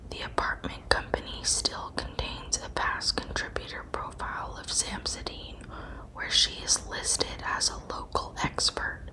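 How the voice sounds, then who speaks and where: A woman narrating a scripted true crime story in a breathy, unvoiced ASMR whisper, with measured, deliberate phrasing. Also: poor audio.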